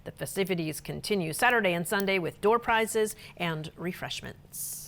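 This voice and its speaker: Lower-pitched woman reading local news. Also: normal speed, creaky. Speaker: news reporter. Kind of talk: scripted local newsreel narration.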